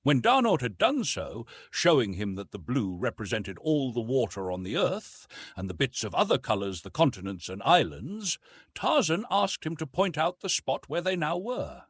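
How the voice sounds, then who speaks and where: A man narrating a classic adventure novel as a dramatic, expressive audiobook reading. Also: medium pitch, moderate pace, husky, no echo.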